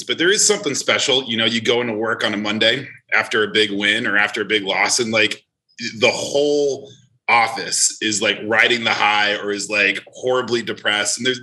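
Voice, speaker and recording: A man's voice: lowish voice, brisk, pushed and bright, low-quality recording. A man from New Jersey with an American accent, telling casual anecdotes as a podcast guest. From New Jersey, United States.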